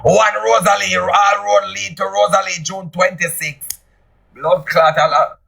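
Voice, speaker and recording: A man with a Jamaican accent, boasting loudly and often shouting in a casual live chat. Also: sits high, little pitch movement, unhurried, vocal fry, breathy edge.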